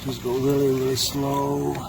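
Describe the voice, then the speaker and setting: A man with a heavy Czech accent filming himself outdoors on a camera mic, talking through a product test in a casual vlog, with a halting delivery full of fillers and restarts. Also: medium pitch, monotone, creaky. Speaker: product tester. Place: outdoors on trails and beach. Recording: outdoor noise.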